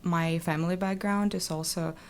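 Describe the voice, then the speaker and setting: Young woman guest, from Kazakhstan, Russian native speaker. Low, gravelly voice; soft-spoken, thoughtful delivery, faint noise behind. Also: steady tone, moderate pace. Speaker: guest, recent graduate. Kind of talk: podcast interview. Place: radio studio.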